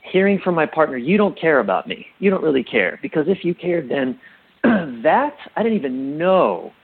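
Interview guest: middle-aged male, husky, reflective and halting, emphatic on key points, on band-limited audio. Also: a little high, big pitch swings, narrow, tinny audio. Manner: halting, self-correcting, emphatic. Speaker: interview guest, relationship coach.

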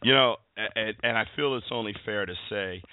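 A man's voice: fairly low pitch, fairly quick, clean tone, no room sound. A man hosting a casual sports chat, rambling with plenty of fillers. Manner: rambling, filler-heavy, conversational. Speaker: podcast host. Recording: tinny phone-like line.

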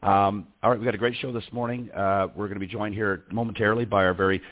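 A man hosting a casual radio talk show, hoarse and gravelly today, friendly with many fillers. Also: fairly low pitch, steady tone, fast talker. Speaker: show host. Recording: tinny phone-line audio.

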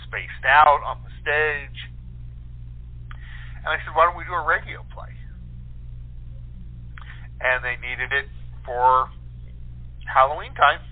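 A man chatting casually as an interview guest, explaining his writing with enthusiasm over the telephone. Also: medium pitch. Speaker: guest playwright. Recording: muffled narrow phone line.

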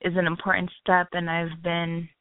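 A woman's voice: on the low side, completely flat delivery, normal speed, no echo. A young woman from Haiti calling a radio show, talking eagerly in long rambling sentences and apologizing for babbling. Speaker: caller. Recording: tinny phone line.

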